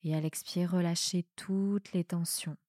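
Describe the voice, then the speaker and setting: A woman with a soft voice guiding a relaxation meditation in a calm, soothing manner with measured pauses. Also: lowish voice, dry recording, clean studio sound. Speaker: yoga nidra guide. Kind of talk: guided meditation, relaxation instruction.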